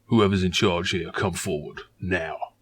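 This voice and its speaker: Villainous military commander, performed by a man: deep-set, rough husky voice, cold, curt, threatening authority. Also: fairly even intonation, unhurried, a bit bright, heavily acted. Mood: commanding. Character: menacing male military commander, cold and ruthless.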